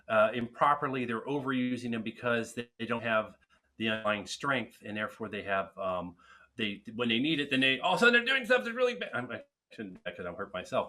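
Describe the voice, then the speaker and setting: Man, frank advice-giver, webinar Q&A, forceful bright timbre. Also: mid-range voice. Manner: frank, advice-giving, with restarts. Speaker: guest speech therapist answering questions. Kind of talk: casual webinar Q&A.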